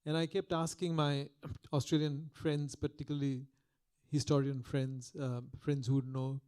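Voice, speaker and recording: An older man with a delivers a public lecture in a soft, mellow voice on a podium microphone. He talks reflectively, often restarting his sentences, in a slightly raised register. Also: fairly high pitch, flat delivery, a little slow, clean tone. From Calcutta, India. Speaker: guest lecturer.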